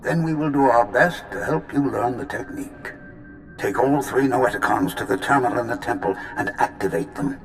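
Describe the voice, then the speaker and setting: A man voicing a patient, wise Jedi master spirit in a video game, measured, formal and reassuring. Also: mid-range voice, echoey room, faint music behind, natural voice. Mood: reassuring, urgent.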